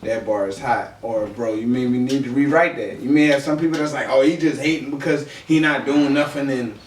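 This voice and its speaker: A man's voice: medium pitch, a little fast. A young man chatting casually as a podcast co-host, slangy, often checking that he is understood. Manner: slangy, repeats "you know what I mean". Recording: echoey room, distant mic, faint noise.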